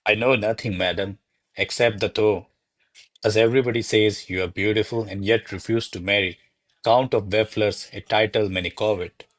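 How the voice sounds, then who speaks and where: A man's voice: fairly low pitch, fairly even intonation, moderate pace, dry recording, natural voice. A man playing a deferential, flustered servant to a noble lady in a radio play, speaking formally and placatingly. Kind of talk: radio play acting of a classic comedy.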